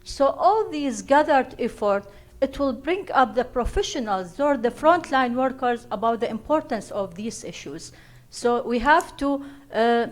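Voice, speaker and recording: A woman giving a spoken reflection from the floor at a conference session. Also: a little high. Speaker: audience member at a conference.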